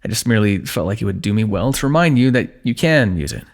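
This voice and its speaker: A man playing a weary, wry fantasy warrior in an ASMR roleplay, speaking in measured, formal phrases with dry teasing. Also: fairly low pitch, animated, creaky. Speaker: ASMR voice actor. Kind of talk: ASMR fantasy roleplay, one-sided dialogue.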